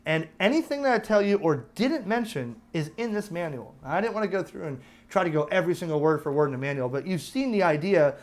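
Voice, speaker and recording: Chatty American man with a higher voice. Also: lively intonation, fast, few pauses. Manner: chatty, informal, explains step by step. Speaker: host of a radio review channel. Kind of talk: casual product review and demonstration.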